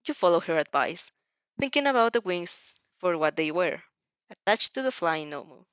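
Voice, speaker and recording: A woman reading fan fiction in an expressive storytelling way with casual, chatty asides. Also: lowish voice, normal speed, dry recording. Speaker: fan fiction reader and reactor. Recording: dull, muffled lo-fi recording.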